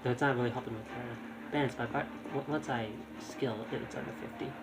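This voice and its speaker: Man streaming games over loud music. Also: medium pitch, steady tone, fairly quick, low-quality recording. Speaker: game streamer. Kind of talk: casual live gameplay commentary. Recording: loud game music behind voice. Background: loud video game music.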